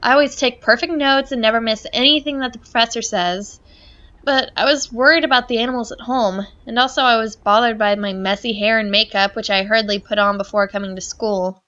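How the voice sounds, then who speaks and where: A woman's voice: a little high, steady tone, brisk, pushed and bright, lo-fi sound. A woman hosting a let's play, reading game narration aloud and adding chatty, giggly commentary. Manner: chatty, giggly, reads narration then riffs.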